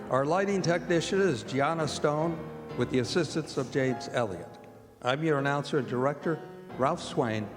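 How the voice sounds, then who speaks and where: An American man announcing in old-time radio style, reading scripted copy as the show's announcer. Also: medium pitch. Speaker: announcer and director of a radio theatre group. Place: performing arts center stage. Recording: echoey hall with music.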